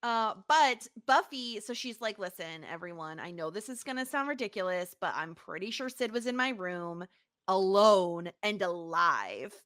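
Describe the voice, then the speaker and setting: A woman hosting a podcast, recapping a TV episode in casual chat and telling it in an animated storytelling way. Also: mid-range voice, normal speed. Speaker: podcast host.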